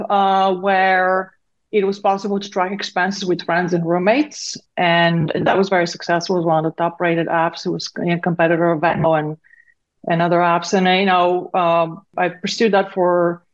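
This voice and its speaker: A woman's voice: fairly low pitch, highs cut off. A woman in her fifties from Bulgaria, a native Bulgarian speaker, answering conversationally as an interview guest. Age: 50s. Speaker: interview guest, tech founder and CEO.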